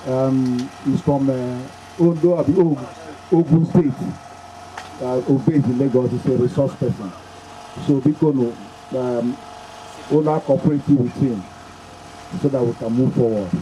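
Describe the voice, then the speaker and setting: Nigerian man emceeing in a dark voice. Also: medium pitch, slight background noise, low-quality recording. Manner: loose, halting announcing with fillers. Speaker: event MC. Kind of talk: public address mixing Pidgin and Igbo. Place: community summit venue.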